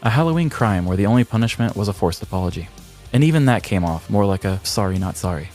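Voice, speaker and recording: Low voiced guy co-hosting a podcast, telling stories and chatting, bit of music behind him. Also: animated, quick pace, a little mellow. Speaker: podcast co-host. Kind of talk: scripted story narration and casual banter.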